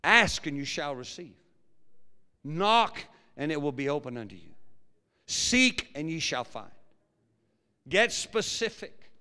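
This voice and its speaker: A pastor preaching a sermon with a big, projecting voice that swings from quiet low musing to loud fervent preaching. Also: male voice, very animated, takes it slowly, gravelly, pauses often, clear, resonant tone. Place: large church hall. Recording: long hall reverb.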